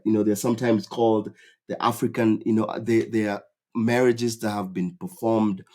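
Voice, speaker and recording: A man's voice: lowish voice, darkish tone. A man from Kenya hosting his channel, explaining in a casual, rambling way with many fillers and restarts. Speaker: YouTube channel host. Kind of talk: casual explainer talk to camera.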